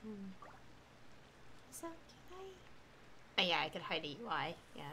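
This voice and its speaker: A woman's voice: medium pitch, big pitch swings, slow, noisy background. A woman streaming a game with casual live commentary, thinking aloud in a hesitant way and trailing off. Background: game music.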